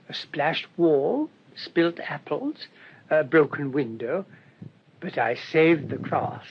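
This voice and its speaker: An actor playing a mild, shrewd English priest in a radio drama, speaking in a gentle, rambling, politely hesitant way. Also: male voice. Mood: gently reproving. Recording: dull lo-fi radio recording, rumbling noise.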